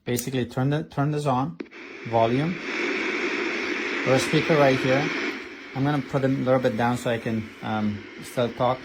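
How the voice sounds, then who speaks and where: A man's voice: medium pitch, steady tone, moderate pace, pauses often, heavy background noise. A man reviewing a product in a casual, hands-on way, explaining step by step with some hesitation. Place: indoors at a desk.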